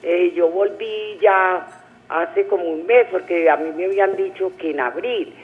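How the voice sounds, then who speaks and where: A woman's voice: on the low side, unhurried. Woman caller on a tinny phone. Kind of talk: informal personal account. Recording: echoey, narrow telephone line.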